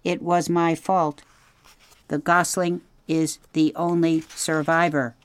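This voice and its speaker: A woman's voice: low voice, level tone, slow pace, smooth, voice pushed up. A woman voicing a friendly, polite female robot in an audiobook, speaking formally without contractions. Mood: polite, earnest. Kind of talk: audiobook reading.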